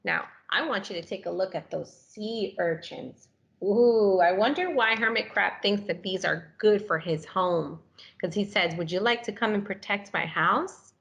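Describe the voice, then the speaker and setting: A woman reading a picture book aloud to children, animated and expressive, with questions for young listeners. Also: mid-range voice, vocal fry. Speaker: story reader for children.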